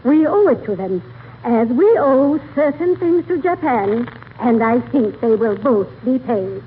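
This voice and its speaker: An actress playing a haughty, earnest high-society clubwoman with grand, prim diction in a 1940s radio comedy. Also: lively intonation, measured pace. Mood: earnest appeal. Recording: tinny, faintly hissy vintage radio broadcast.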